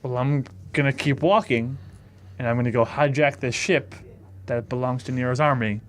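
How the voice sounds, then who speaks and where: A man's voice: mid-range voice, steady tone, normal speed, slight background noise. A man chatting casually as a player in a tabletop role-playing game.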